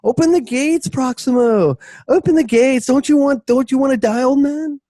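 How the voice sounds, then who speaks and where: A man voicing an aggressive, commanding Roman guard shouting orders in an amateur radio play. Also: extremely high pitch, normal speed, dry recording, voice pushed up.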